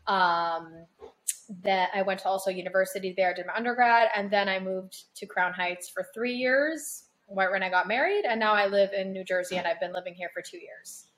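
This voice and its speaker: A woman's voice: mid-range voice, normal speed, a bit bright. A young woman, born in Paris and raised in Toronto, answering interview questions in a chatty, searching way with many ums. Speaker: guest, content creator.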